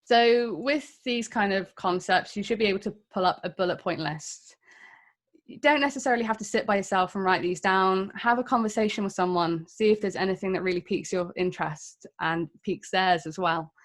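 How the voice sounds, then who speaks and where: An English woman in her thirties speaks to a conference crowd, frank and a little self-mocking. Her voice sits at an ordinary middle pitch, and her delivery stays chatty rather than formal. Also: a little fast. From England. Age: 30s. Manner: candid, self-deprecating, conversational. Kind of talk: tech talk to an audience.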